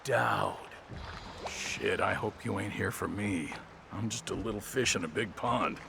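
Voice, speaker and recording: An actor playing a nervous, scheming small-time informant in a video game, gravelly and wheedling, with street-wise informal talk. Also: male voice, on the low side, a little slow, background noise. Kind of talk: scripted video game dialogue.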